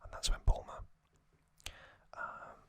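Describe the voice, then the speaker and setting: Whispering male ASMR host. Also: sharp tone. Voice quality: breathy unvoiced whisper. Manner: unhurried, halting, short phrases.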